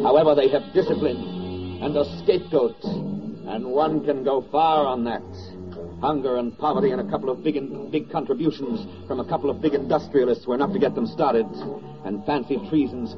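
An actor as a grave, sardonic narrator of a wartime 1940s radio drama, declaiming in a rhetorical style. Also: male voice, medium pitch, lightly acted. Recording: dull 1940s radio record with music.

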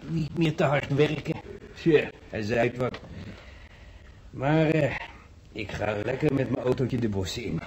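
A man acting a working-class street vendor in a radio drama. His voice is mid-pitched and mellow, his manner folksy, hesitant and easygoing, over faint noise of an old recording. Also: measured pace, long pauses, lightly acted, low-quality recording. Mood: musing. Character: plain-spoken, easygoing working-class male fruit vendor in hospital. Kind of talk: Dutch radio play acting.

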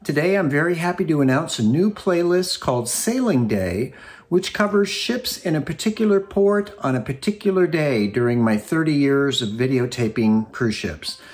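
A friendly older man speaks to camera from a small book-lined study at home. His voice sits a little high for a man, and he talks in a relaxed, conversational way, as if welcoming regular viewers. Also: normal speed. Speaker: channel host. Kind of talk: casual on-camera introduction. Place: home study with bookshelves, indoors.

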